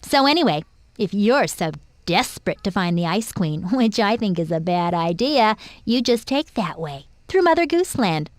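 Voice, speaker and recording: A woman's voice: medium pitch, very animated, moderate pace, dry recording, close to an everyday voice. A woman voicing a sassy, bossy, chatty fairy-tale girl in a cartoon video game.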